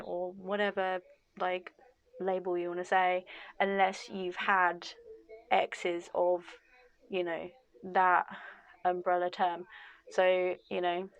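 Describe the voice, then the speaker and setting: Gravelly-voiced woman, a bit hoarse. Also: mid-range voice. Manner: frank and chatty, with frequent laughs and "like, you know" fillers. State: losing her voice, a bit hoarse. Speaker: vlogger. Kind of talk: casual talking-to-camera vlog.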